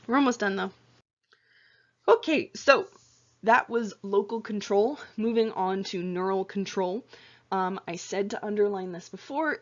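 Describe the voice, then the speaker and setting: A woman instructor talking through lecture slides in a casual, chatty way with lots of fillers and self-corrections, her voice getting tired and hoarse. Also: mid-range voice, muffled recording. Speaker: anatomy and physiology instructor.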